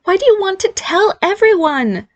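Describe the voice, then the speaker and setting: A woman's voice: very high-pitched, sing-song, moderate pace, dull audio, pitched above the performer's own voice. A woman voicing a teenage boy magically turned into a girl, whiny and sarcastic.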